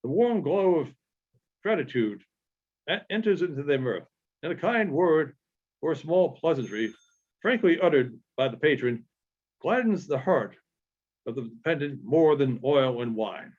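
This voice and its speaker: A man reading literary narration aloud in a halting way, with frequent pauses and small stumbles. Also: a little high, expressive, darkish tone, husky. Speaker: audiobook reader. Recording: dull, lo-fi sound.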